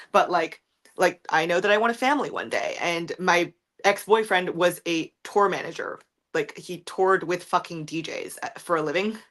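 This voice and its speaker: A young woman with a deep voice, chatting frankly in a rambling livestream, answering viewer questions with lots of ums, likes and swearing. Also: a bit bright. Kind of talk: casual livestream chat.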